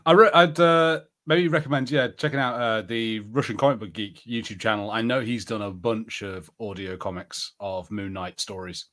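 A man's voice: on the low side, steady tone, moderate pace, hardly any pauses, fairly bright tone. A man co-hosting a podcast in casual banter, speaking in an easygoing, agreeable way. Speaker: podcast co-host.

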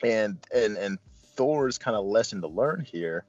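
A low-pitched man hosts a casual movie review. He rambles and stops and starts, then leans hard on certain words. The sound is slightly dull and close, with faint music underneath. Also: animated, unhurried, a little mellow, no echo. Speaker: film review host.

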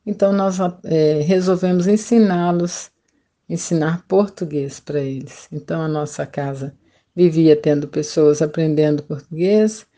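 Older Brazilian woman, low mellow voice. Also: a little slow. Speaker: retired missionary, podcast guest. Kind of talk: personal testimony, conversational storytelling.

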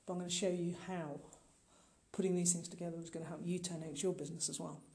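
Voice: medium pitch, little pitch movement, fast talker, creaky, darkish tone. A middle-aged podcast host speaking informally and directly to the listener, earnest and emphatic. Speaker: podcast host and online marketing business owner. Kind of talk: informal solo podcast monologue.

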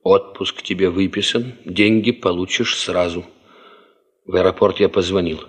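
An actor playing a sober, considerate senior colleague in a radio drama, restrained and matter-of-fact. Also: male voice, sits low, normal speed, slightly rough, big-room sound. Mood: practical, sympathetic. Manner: restrained, practical, steady. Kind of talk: Soviet radio drama acting.

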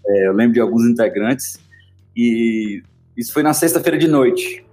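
A man's voice: medium pitch, lively intonation, normal speed, darkish tone, soft background music. A man from Salvador with a Bahian Brazilian accent, a podcast guest telling his story in a friendly, rambling way with many fillers. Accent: Bahian Brazilian Portuguese. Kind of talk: casual storytelling interview.

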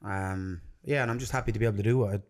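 Low-pitched Irish man chatting casually. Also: rapid-fire. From Ireland and London. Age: 30s. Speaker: podcast guest, jiu-jitsu coach. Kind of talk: casual chat.

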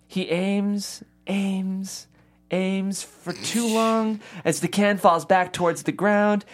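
A narrator reading scripted stage directions at a comedy table read. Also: mid-range voice.

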